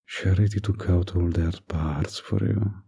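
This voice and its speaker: Man acting a deep, gravelly, seductive villain. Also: steady tone, warm tone, full character voice. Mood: seductive, coaxing. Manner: drawling, coaxing, smug, with deliberate pauses. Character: smooth, manipulative, flirtatious male villain. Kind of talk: intimate roleplay audio drama acting.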